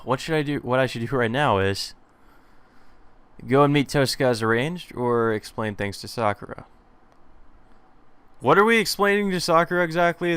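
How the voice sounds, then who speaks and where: A man's voice: medium pitch, a bit bright, clean tone, dry recording. A man narrating a let's play, reading a visual novel aloud and adding casual commentary. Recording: music behind the voice.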